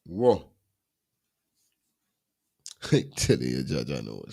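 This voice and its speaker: A man's voice: extremely low pitch, very animated, very slow, creaky, warm tone, breathy edge. A man hosting a casual talk show, agreeing often and speaking freely. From Guyana. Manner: agreeable, frequent affirmations. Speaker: host. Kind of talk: casual chat.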